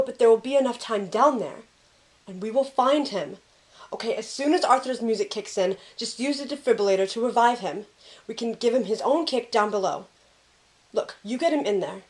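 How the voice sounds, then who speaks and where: A woman's voice: a little high, natural voice, rough recording. A woman playing a determined, reassuring young team member in a fan audio drama.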